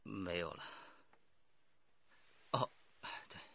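An actor playing a weary, defeated criminal suspect confessing in a radio drama, with a husky, gravelly voice. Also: male voice, extremely slow, bright, forceful tone, highs cut off. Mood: subdued, weary.

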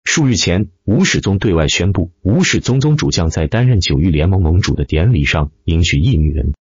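Male audiobook narrator: low, husky, expressive delivery for dramatic fiction, dry slightly dull recording. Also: fairly quick, dry recording. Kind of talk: dramatic scripted web-novel narration.

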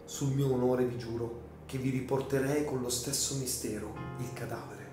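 A man playing an insistent, desperately hopeful gentleman in a melodramatic radio drama, emphatic and pleading. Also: mid-range voice, fairly even intonation, normal speed, natural voice. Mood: insistent, solemn. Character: earnest, insistent, desperately hopeful gentleman, the dead countess's brother. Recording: very echoey room, music behind.

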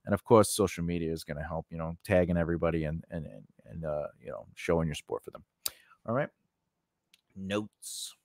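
A man's voice: low voice, fairly quick, gravelly, a little mellow, dry recording, studio quality. A man teaching an informal, unscripted tutorial in a conversational way, often repeating phrases and checking in with the listener. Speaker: instructor and host of a writing lesson.

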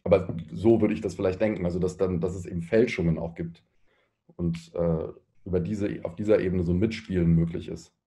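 A man in his thirties in a panel discussion, thinking aloud haltingly and repeating words as he searches. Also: deep voice, fairly even intonation, warm tone. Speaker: panelist, artist.

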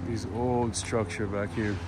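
A man's voice: on the low side, level tone, measured pace, over loud music. A man hosting a travel vlog outdoors, chatting casually and exclaiming in amazement at what he sees. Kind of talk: casual chat while touring. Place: outdoors in a small town. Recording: noisy outdoor recording, vehicle and ambient sounds.

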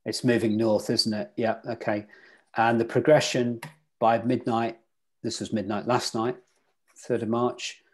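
Husky man teaching over a video call. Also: mid-range voice, little pitch movement, measured pace. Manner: interactive, quizzes the audience. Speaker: sailing weather instructor. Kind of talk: online club lecture.

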